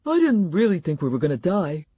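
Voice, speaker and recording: A woman voicing an excitable, earnest, hapless man in a comic audiobook story. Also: on the low side, fairly quick, breathy edge, no echo, muffled recording, voice pushed up. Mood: bewildered.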